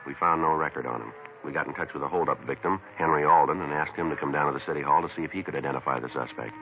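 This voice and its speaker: An actor playing a terse, unflappable American police detective in a 1950s radio drama, clipped and businesslike. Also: male voice, extremely low pitch, very fast. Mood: deadpan, procedural. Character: terse, unflappable male police detective narrating his case. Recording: tinny, noisy 1950s radio recording.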